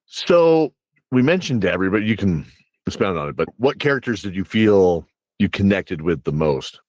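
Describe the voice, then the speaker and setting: A man's voice: deep voice, very animated, moderate pace, a little mellow, no echo, rough recording. A man hosting an interview in a casual, jokey way, chuckling as he talks like a game master among friends. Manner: jokey, chuckles while talking. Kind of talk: casual bantering interview chat.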